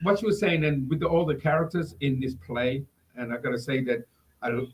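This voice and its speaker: A man hosting a casual interview, halting and repeating phrases as he forms his questions. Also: medium pitch, fairly even intonation, rough recording. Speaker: interviewer, host.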